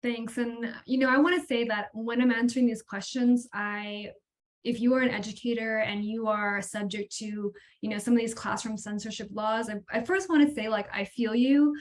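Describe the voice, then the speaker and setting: A woman's voice: fairly high pitch, steady tone, normal speed. An American woman in her thirties speaking as an educator on an online panel, earnest, informal and emphatic. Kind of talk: conversational panel talk. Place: indoors on video call.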